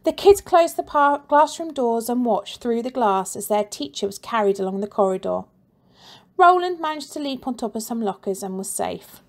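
A woman teacher reading a children's storybook aloud with lively expression and playful sound effects. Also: a little high, normal speed.

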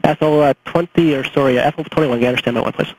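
A man's voice: on the high side, quick pace. A man working as an air traffic controller, giving instructions over aviation radio. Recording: faintly noisy air traffic radio recording.

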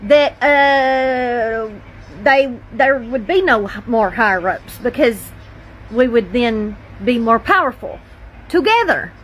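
A woman's voice: a little high, animated, slow pace, low-quality recording. A woman talking informally in a folksy, plain-spoken way, with a personal tone. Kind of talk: informal personal talk to camera. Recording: faint background noise.

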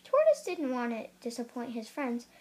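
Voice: medium pitch, huge pitch swings, normal speed. A young reader reading a children's picture book aloud, carefully, with the occasional stumble. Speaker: storybook reader.

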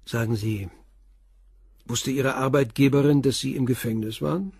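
A calm probing police inspector. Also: male voice, medium pitch, creaky, breathy edge, no room sound, clean studio sound. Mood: steady. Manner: measured, persistent questioning.

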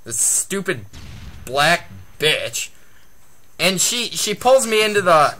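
A man's voice: sits high, very animated, slow pace, bright, forceful tone, low-quality recording. A young man telling a story casually, crude and foul-mouthed, as a guest.

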